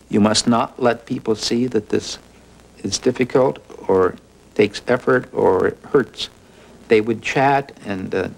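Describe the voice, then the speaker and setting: A man's voice: medium pitch, vocal fry, low-quality recording. A man telling a story conversationally, as an interviewee in a documentary.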